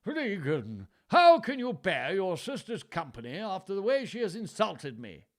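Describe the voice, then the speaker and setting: A man's voice: high voice, wildly swooping pitch, unhurried, voice pushed up, full character voice. A man voicing a proud old king who whines and rages, read aloud from a storybook. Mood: whining.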